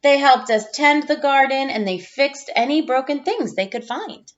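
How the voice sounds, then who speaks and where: A woman's voice: sits high, lively intonation, normal speed, muffled recording. A woman teacher reading a storybook aloud to pupils, expressively, drawing out phrases for emphasis.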